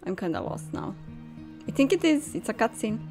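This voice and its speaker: A young woman gives casual gaming commentary in a fairly high, lively voice. She is excitable but takes her time, with clear game music behind her. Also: fairly quick. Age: young adult. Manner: giggly, excitable. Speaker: gaming video host.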